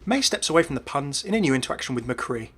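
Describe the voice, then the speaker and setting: A man's voice: mid-range voice, sing-song, quick pace, a bit bright, slightly rough, soft background music. A man presenting scripted commentary on video game lore as a voice-over. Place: voice-over with faint music behind.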